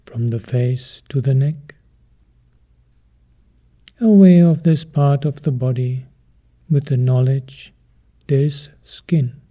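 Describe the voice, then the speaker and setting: Guy teaching meditation, really dark soft-edged voice, slow n calm, leaves gaps between short phrases. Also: mid-range voice. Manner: measured, calm, sparse phrases with pauses. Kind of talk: guided meditation instruction.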